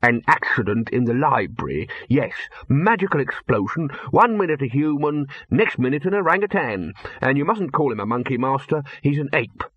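A man's voice: mid-range voice, expressive, normal speed, hardly any pauses, breathy edge, no room sound, lo-fi sound. A man reading an audiobook voices a nervous, fussy male college bursar who stammers and placates. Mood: placating. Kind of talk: audiobook character voice.

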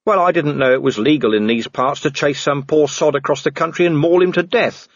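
A man's voice: a little high, brisk, no echo, dull audio, voice pushed up. A man voicing a grim, weary male city watch commander who talks in a bitter growl.

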